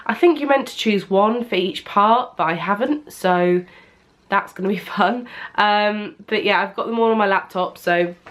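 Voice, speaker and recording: A woman's voice: medium pitch, moderate pace, a bit bright. A young British woman vlogging at home, talking casually to a camera propped on an ironing board, her nose blocked with a cold and her talk full of ums. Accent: British English. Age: young adult. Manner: chatty, rambling, many ums. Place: at home, indoors.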